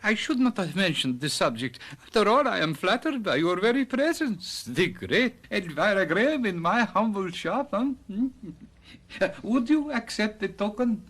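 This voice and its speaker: A flattering old-time radio shopkeeper, super deferential and courtly, kinda insistent. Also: male voice, sits high, normal speed, heavily acted. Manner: effusive, deferential.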